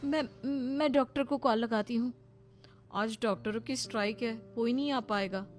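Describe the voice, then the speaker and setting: A woman's voice: fairly high pitch, normal speed, faint music behind, natural voice. A woman voicing a snobbish young modern party friend in a cartoon, chatty and condescending. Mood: flustered.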